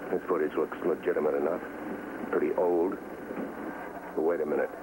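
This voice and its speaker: A man's voice: extremely low pitch, fairly even intonation, moderate pace. An actor playing an earnest, guarded undercover man in a 1950s TV drama, clipped and serious in his delivery. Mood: tense, grave. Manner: clipped, serious, matter-of-fact. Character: guarded, earnest man working undercover inside a communist cell. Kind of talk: 1950s TV drama acting with terse voice-over. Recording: old 1950s TV soundtrack, some noise.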